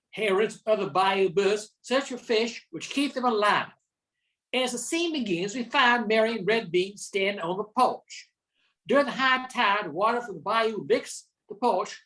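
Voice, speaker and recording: An older woman acts as a folksy radio storyteller with a medium-pitched voice. The narration is scripted and sets scenes of bayou legend for a radio drama. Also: a bit bright, poor audio.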